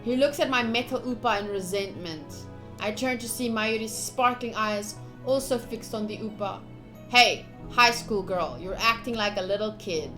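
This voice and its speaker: A young woman streaming a visual novel, reading the text aloud with casual, gushy, expressive commentary. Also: medium pitch, moderate pace. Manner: expressive, gushy, slips into cute exclamations. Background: soft game music.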